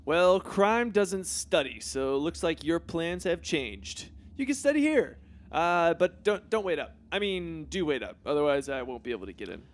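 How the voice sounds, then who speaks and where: A man's voice: fairly high pitch, very animated, normal speed. A man playing a vain, self-pitying teenage boy superhero in a comedy sketch, talking in a pompous, oblivious way. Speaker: Bruce Wayne Jr.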